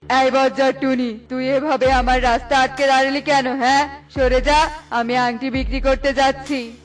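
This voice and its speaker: A greedy cartoon eagle, played by a woman, bullies and blusters in a very high voice. The scolding delivery sits over clear background music. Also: little pitch movement, moderate pace, natural voice. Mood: bullying. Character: greedy, bullying eagle who snatches and threatens.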